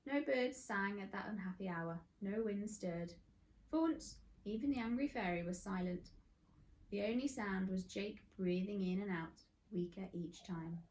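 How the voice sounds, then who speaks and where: A woman's voice: mid-range voice, measured pace, darkish tone, poor audio. A woman narrating a children's story expressively, like a teacher reading aloud.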